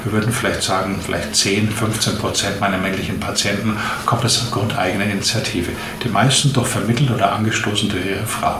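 A man's voice: fairly low pitch, steady tone, brisk, few pauses. Echoey, noisy recording of a man explaining. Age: 57, late fifties. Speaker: interviewed physician. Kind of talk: interview, explanatory talk. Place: small indoor room, seated at a desk. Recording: echoey room with clear background noise.

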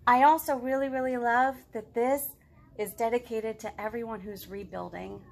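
A woman's voice: a little high, fairly even intonation, normal speed, vocal fry, darkish tone, slight background noise. A woman in her thirties hosting a read-aloud storytime, talking expressively and directly to young children. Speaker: children's librarian, storytime host. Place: living room at home.

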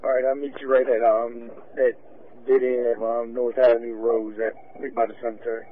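A man's voice: mid-range voice, little pitch movement, moderate pace. Policeman on tinny, faintly noisy radio. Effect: two-way police radio. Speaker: police officer.

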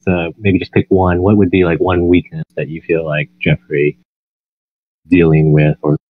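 A man's voice: low voice, little pitch movement, moderate pace, dry recording. A man hosting a podcast, asking interview questions in a casual, conversational way. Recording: muffled, phone-like narrowband line.

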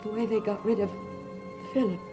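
A woman's voice: medium pitch, fairly even intonation, normal speed, natural voice. An actress playing a frightened, defiant young woman in a gothic soap opera, speaking in a breathless, pleading way. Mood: anxious, pleading. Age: young adult. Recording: old TV soundtrack with background music.

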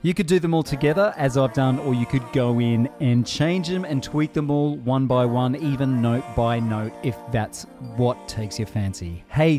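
Man with a mellow, casual tutorial voice. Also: medium pitch. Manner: casual, conversational explaining. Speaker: tutorial presenter. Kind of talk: software tutorial voice-over.

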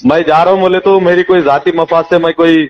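A high-voiced man with a Hyderabadi accent speaks on a dull, lo-fi phone recording. He argues indignantly and insistently, throwing out one rhetorical question after another. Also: steady tone, fairly quick. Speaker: local party politician. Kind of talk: heated phone conversation. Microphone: phone call.

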